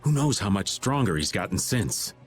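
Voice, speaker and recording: An actor voicing a laid-back, wry middle-aged adventurer in a video game, drawling and folksy. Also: male voice, lowish voice, sing-song, normal speed, faint music behind. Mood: uneasy. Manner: drawling, folksy, theatrically grumbling.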